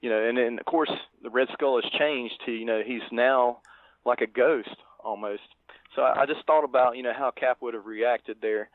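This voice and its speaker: A man co-hosting a podcast, discussing a film casually with halting, tentative phrasing. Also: mid-range voice, a little hiss or hum. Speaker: podcast co-host. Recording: thin phone-like line, dry.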